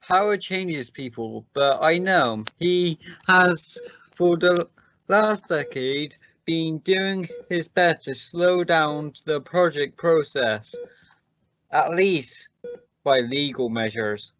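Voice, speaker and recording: A man playing a defensive, accusing scientist in an amateur radio drama, speaking in a halting, formal and deliberate way. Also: on the high side, fairly bright tone, highs cut off, a little hiss or hum. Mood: earnest, suspicious. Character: defensive, accusing male scientist on a space mission. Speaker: amateur radio play actor.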